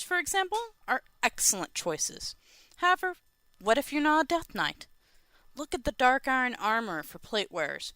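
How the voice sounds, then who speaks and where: A woman with a bright, clean voice and very animated intonation hosts an informal advice segment. She speaks playfully and straight to the listener, following a script. The recording is dry. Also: on the high side, clear, resonant tone, no room sound. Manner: playful, addressing the listener directly. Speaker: podcast segment host.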